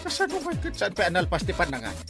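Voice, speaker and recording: A man with an Ilocano accent acting an excitable, blustering village official in a comedy drama. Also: high-pitched, fast, exaggerated voice. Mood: flustered, indignant. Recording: music behind the dialogue.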